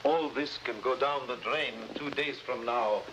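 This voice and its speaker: An actor playing a formal, cautious head of government, in measured 1950s drama style. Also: male voice, mid-range voice, close to an everyday voice. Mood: grave, cautious. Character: grave, formal Latin American head of government. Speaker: actor in a supporting role. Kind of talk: 1950s spy drama acting. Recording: noisy vintage 1950s broadcast recording.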